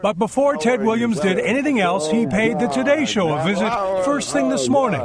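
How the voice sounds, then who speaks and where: High-pitched, animated male news reporter. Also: a little fast, over a noisy crowd. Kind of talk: scripted news package narration.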